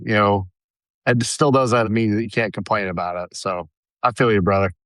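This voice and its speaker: Man co-host, lowish voice, relaxed chatty talk, dry close sound. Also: moderate pace, dry recording. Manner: easygoing, chatty, many fillers like "like" and "dude". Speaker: podcast co-host. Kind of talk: casual chat.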